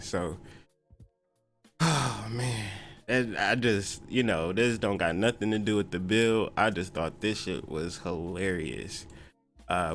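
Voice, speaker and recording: A man's voice: on the low side, a bit bright. A man hosting a podcast livestream in a laid-back, slangy casual chat, swearing now and then.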